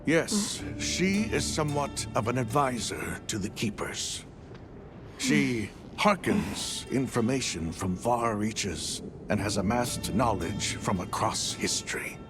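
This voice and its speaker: An actor playing a grave, cautious village keeper in a game cutscene, speaking in formal, measured phrases. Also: male voice, medium pitch, lively intonation. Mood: solemn, troubled. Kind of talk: dramatic video game cutscene acting. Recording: music behind the voice.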